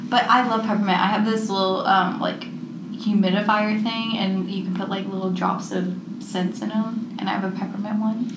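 A young woman co-hosts a podcast in loose, rambling chat, dotting her talk with ums and likes. Her voice sits in a roomy space with a steady hum of background noise. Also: medium pitch, normal speed. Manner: chatty, rambling, lots of fillers. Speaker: podcast co-host. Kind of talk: casual chat.

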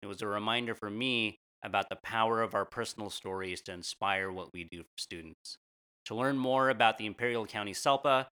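American man, bright crisp voice, lowish pitch, scripted podcast intro, co-host.

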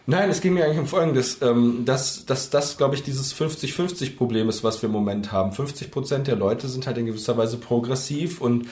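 A man's voice: mid-range voice, a little fast, few pauses, a little mellow. A man co-hosting a casual podcast chat who rambles, thinking aloud with many fillers. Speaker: podcast co-host. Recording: roomy echo.

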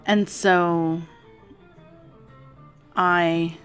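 A raspy-voiced woman in her forties talking casually in a rambling personal vlog at home, with many fillers. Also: lowish voice, level tone, drawn-out words, fairly bright tone, soft background music. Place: home with TV music in another room.

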